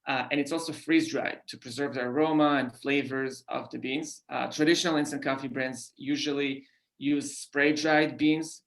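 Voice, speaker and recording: A man from Israel with an Israeli accent, a company founder answering podcast questions in halting speech with many fillers and restarts. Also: medium pitch, fairly even intonation, rough recording. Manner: halting, frequent "uh" fillers and repeated words. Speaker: guest founder. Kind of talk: podcast interview.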